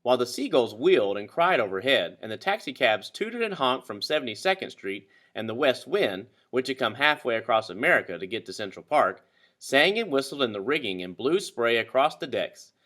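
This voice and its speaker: A man reading a children's story aloud with expressive, dramatic narration. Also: mid-range voice, moderate pace. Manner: dramatic, animated. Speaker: storybook reader.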